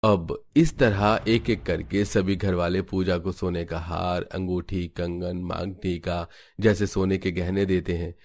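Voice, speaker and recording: A man's voice: low voice, level tone, unhurried, a little mellow. A man reading scripted narration for a cartoon family story.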